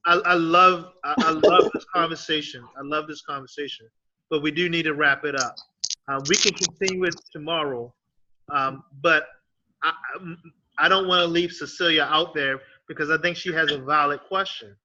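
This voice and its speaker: A man moderating an online teachers' discussion, animated and stumbling over his first words, heard over a video call. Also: fairly high pitch, moderate pace, muffled recording. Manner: animated, stammers on starts.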